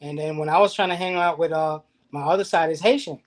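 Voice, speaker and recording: A man's voice: a little high, steady tone, fast talker. Earnest man talking in a casual chat. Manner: earnest, speaks with some repeated restarts. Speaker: guest. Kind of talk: casual discussion.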